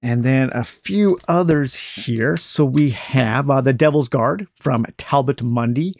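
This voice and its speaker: A man casually talking through his vintage paperback haul, enthusiastic and unhurried, with many fillers. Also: mid-range voice, vocal fry, a little mellow. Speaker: book collector showing a book haul. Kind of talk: casual book haul chat with a read-aloud passage. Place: indoors at a table with books.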